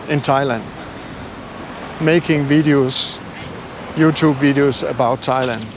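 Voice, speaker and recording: An older man with a non-native English accent, talking casually and haltingly to viewers in a self-deprecating way as a travel vlogger. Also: a little high. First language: not English. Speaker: travel YouTuber. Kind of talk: casual vlog talk to camera. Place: outdoors. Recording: noisy outdoor street ambience with traffic.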